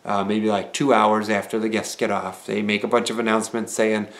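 A man's voice: fairly low pitch, level tone, brisk, fairly bright tone. A man in his thirties talking to camera in a casual vlog, explaining things plainly with frequent fillers, seated in a small room at home. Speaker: vlogger and former cruise ship entertainer. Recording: roomy echo from camera mic.

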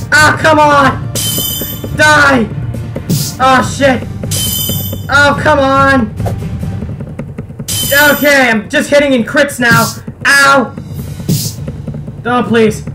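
A man's voice: very high voice, extremely slow, long pauses. A young man giving casual, sweary, exasperated let's play commentary as a gaming video host. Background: game music.

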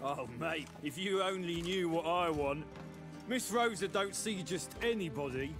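A man's voice: on the high side, unhurried, music in the background, lightly acted. A man acting a cheeky, dismissive gatekeeper guard in a video game, mocking and insolent.

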